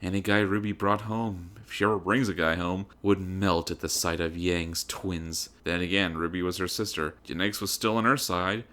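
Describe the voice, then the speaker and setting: A man's voice: sits low, fairly even intonation, moderate pace, hardly any pauses, fairly bright tone. A man narrating a comedic dramatic reading in a theatrical, emphatic, mock-serious manner.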